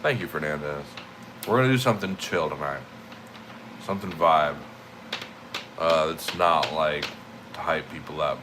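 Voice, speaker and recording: A man's voice: rumbling low, moderate pace, pauses often, noisy background. A man livestreaming in casual chat, sniffly and stuffy-nosed, rambling and repeating himself in a laid-back way.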